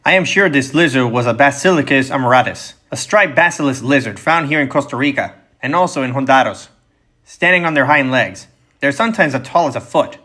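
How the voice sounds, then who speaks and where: A male narrator plays a confident field biologist in an audiobook. He explains precisely and with assurance in a natural, medium-pitched voice. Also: expressive, brisk, sharp tone. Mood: assured.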